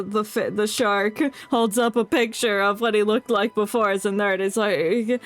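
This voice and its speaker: A woman's voice: a little high, a bit bright. A woman streaming a game, giving casual, sarcastic and jokey live commentary. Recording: game music behind the voice.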